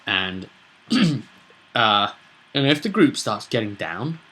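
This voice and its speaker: A man's voice: fairly low pitch, animated, slow pace, pushed and bright, low-quality recording. A British man giving casual, unscripted commentary as a game master, emphatic and opinionated, rambling along with rhetorical questions. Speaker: tabletop roleplaying game master and vidcast host.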